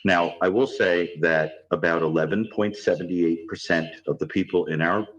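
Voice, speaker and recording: Very deep-voiced man, measured academic. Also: steady tone, lo-fi sound. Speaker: forensic psychologist. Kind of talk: recorded expert commentary.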